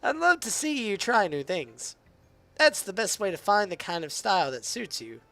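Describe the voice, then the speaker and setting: A hoarse man reading the lines of a poised teenage girl who leads a literature club in a visual novel. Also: high voice, big pitch swings, normal speed, sharp tone, dry recording, full character voice. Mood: encouraging. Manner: reads visual novel dialogue aloud.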